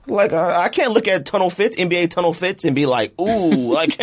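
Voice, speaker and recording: A man hosting a podcast in casual, slangy chat, talking in an animated way and repeating himself for emphasis. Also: high voice, brisk. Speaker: podcast host. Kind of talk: casual chat, friendly debate.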